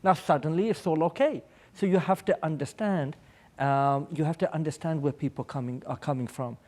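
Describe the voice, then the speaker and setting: Mellow, higher-pitched man speaking on a panel. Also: fairly quick. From born in Pakistan, American. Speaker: humanitarian aid worker, panel speaker. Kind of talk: public panel talk with personal anecdotes.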